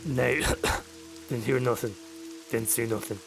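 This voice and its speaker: Man as a creaky-voiced evasive villager. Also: medium pitch, steady tone, takes it slowly, music in the background, low-quality recording. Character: evasive, unhelpful town villager. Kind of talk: voicing game dialogue.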